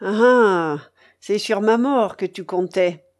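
A woman voicing an imperious, quick-tempered old grandmother in an audiobook, blunt and scolding. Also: mid-range voice, lightly acted. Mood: furious, scornful. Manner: commanding, exclaiming, scolding. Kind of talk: audiobook character voice.

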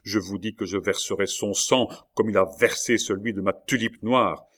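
A man's voice: on the low side, moderate pace, a bit bright. Man acting a desperate, raging prisoner. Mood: frantic rage. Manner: impassioned, vehement, despairing. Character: desperate, raging male prisoner, passionate tulip grower.

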